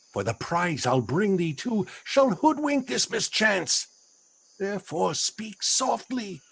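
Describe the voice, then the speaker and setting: High, raspy, servile monster. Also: male voice, heavily acted. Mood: fawning, hushed. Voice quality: raspy, creaky. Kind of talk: Shakespearean radio play acting.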